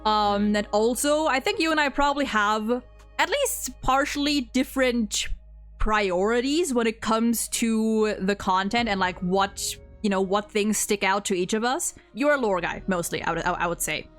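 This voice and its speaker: A woman hosting a casual, unscripted opinion chat about games, rambling and thinking aloud in halting phrases. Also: mid-range voice, a bit bright, faint music behind. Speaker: host of a video game ranking video.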